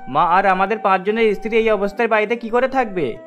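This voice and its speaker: A man plays a worried working son from a village in a cartoon story. His voice is high and sharp, earnest and emphatic, with music underneath. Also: quick pace, lo-fi sound.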